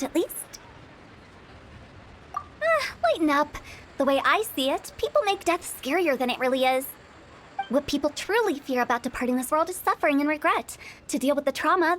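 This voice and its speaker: A woman's voice: high voice, animated, normal speed, fairly bright tone, a little hiss or hum. A woman voicing a mischievous young female funeral parlor director in a video game, playful and teasing with spooky asides.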